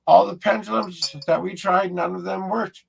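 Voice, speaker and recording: A man hosts his own ghost-hunting vlog, talking straight to camera in a loose, rambling way and often restarting his sentences. His voice has a heavy gravelly fry, and it sits a bit higher than most men's. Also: steady tone, moderate pace. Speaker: paranormal investigator host. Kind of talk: casual talk to camera.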